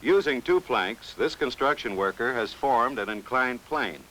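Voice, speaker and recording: Medium-pitched man, instructional film narrator. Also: lively intonation, a little slow, sharp tone, poor audio. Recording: vintage 16mm film soundtrack. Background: music.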